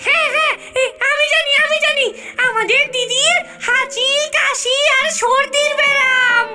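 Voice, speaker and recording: A little ghostly cartoon imp who helps a witch. The voice is piercingly high and excitable, always squabbling and repeating itself. Music plays loudly underneath. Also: moderate pace. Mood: eager, argumentative. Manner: squabbling, repeats words, hesitant stammering starts. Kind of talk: cartoon voice acting.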